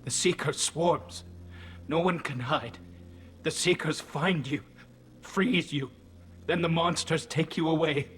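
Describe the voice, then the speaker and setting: A man's voice: fairly high pitch, slow pace, long pauses, a bit bright. A man voicing a delirious, frightened young alien pilgrim in a video game, with a thin, shaky voice and broken, repetitive phrases. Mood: haunted, fearful. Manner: broken, repetitive clipped fragments.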